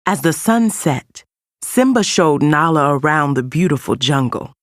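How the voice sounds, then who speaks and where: A woman reading a scripted children's read-along story as an expressive storyteller. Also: sits low, unhurried, no echo, studio quality. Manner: expressive storytelling with character voices. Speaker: storybook narrator.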